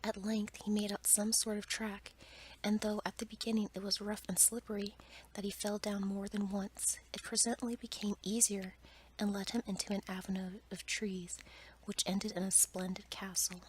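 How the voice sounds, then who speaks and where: A woman whispers a fairy tale in a soft, breathy, careful ASMR bedtime-storytelling style. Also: medium pitch, little pitch movement, moderate pace, poor audio. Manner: soft, careful read-aloud delivery.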